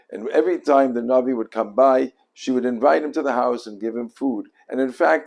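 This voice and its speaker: Gravelly older man telling an inspirational story. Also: mid-range voice, darkish tone. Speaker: religious storyteller, teacher.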